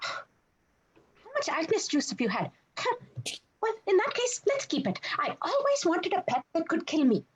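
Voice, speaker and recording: A woman's voice: extremely high pitch, wildly swooping pitch, a little slow, full character voice, low-quality recording. A woman voicing a panicky, sarcastic little male monster sidekick in a scripted podcast, complaining in long exasperated bursts. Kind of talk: scripted podcast character reading.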